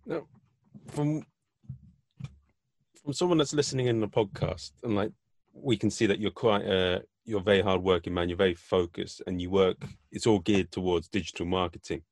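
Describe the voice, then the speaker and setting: A man's voice: low-pitched, moderate pace, darkish tone, no room sound, studio quality. A man hosting a podcast interview, asking a long, winding question. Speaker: podcast host.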